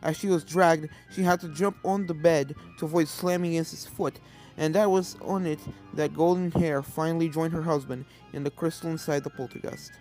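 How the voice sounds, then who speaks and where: A woman's voice: low voice, fairly even intonation, moderate pace, a little mellow, rough recording. A woman reading a fan fiction story as a scripted narrator. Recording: game music under the voice.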